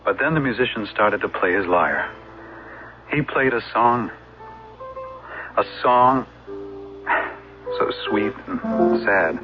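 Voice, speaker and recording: Dull radio recording, music behind, ancient melancholy musician, wistful, hesitant, radio drama delivery. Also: male voice, sits low.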